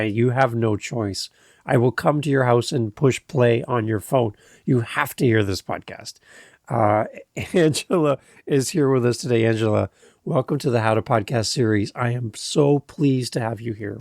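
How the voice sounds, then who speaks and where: Enthusiastic male podcast host, slightly gravelly. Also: medium pitch, normal speed, a little mellow, no room sound, clean studio sound. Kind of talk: enthusiastic conversational interview intro.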